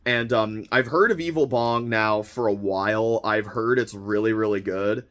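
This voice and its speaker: Slightly hoarse man, rambling excitedly. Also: on the low side, moderate pace. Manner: enthusiastic, rambling, many fillers and restarts. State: slightly hoarse, recovering from illness. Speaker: vlogger unboxing a film subscription box. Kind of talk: casual unboxing chat.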